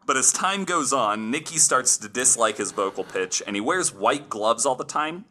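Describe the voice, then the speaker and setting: A man's voice: mid-range voice, expressive, moderate pace, sharp tone, slight background noise. A man hosting a podcast, telling a story casually from notes in informal language with the odd swear word.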